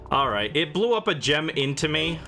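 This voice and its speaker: Lively, bright-voiced man livestreaming games. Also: a little high, moderate pace, soft background music. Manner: playful, chatty, responding to viewers. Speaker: livestream host. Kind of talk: casual gaming livestream chat with viewers.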